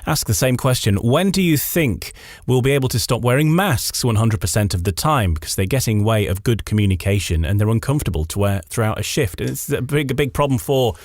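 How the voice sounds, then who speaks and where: A man's voice: medium pitch, animated, fairly quick, a little mellow, no room sound, studio quality. A man hosting a radio interview, reading out questions to his guest.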